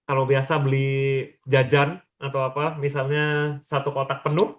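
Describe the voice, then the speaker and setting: A man's voice: mid-range voice, flat delivery, moderate pace. A man, presenting informally to an online audience and often checking in with listeners. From Lombok, Indonesia. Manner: conversational, checks in with listeners using questions and tags. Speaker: webinar speaker, education practitioner.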